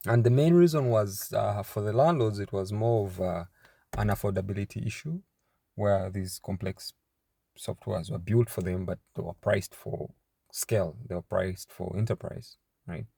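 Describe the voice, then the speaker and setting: A young man from Uganda talks about himself and his startup, pausing often and restarting his sentences. His low voice has a gravelly fry and a dark, mellow tone. The sound is close and dry. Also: dry recording. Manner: halting, many fillers and restarts. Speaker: startup founder. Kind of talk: casual personal introduction to camera.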